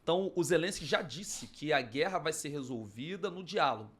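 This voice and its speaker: A Brazilian man on a news podcast who lays out events in a steady, conversational way. His voice is a touch higher than the average man's. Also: a little fast, slightly rough. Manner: explanatory, conversational. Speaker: podcast co-host. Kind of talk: casual news-discussion chat.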